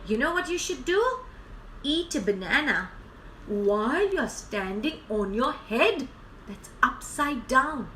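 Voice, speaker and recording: A woman's voice: high voice, big pitch swings, slow, bright, forceful tone, roomy sound, recorded from a distance, a little hiss or hum, exaggerated voice, low-quality recording. A woman voicing a bossy, confident storybook chimp with an emphatic delivery. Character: noisy, confident chimp, annoyed then full of advice.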